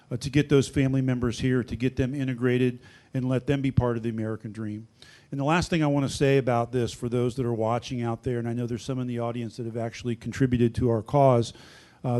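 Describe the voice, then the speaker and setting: Flat-toned sheriff addressing a commission. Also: male voice, medium pitch. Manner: steady, earnest, frequent "uh" fillers. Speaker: county sheriff. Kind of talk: prepared public remarks. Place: county commission chamber.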